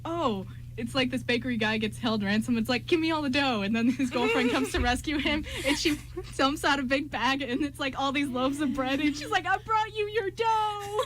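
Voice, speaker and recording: A young American woman co-hosting a radio show, bantering casually in a giggly, excitable way. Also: sits high, normal speed. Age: young adult. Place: college radio studio. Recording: noisy radio studio with hum.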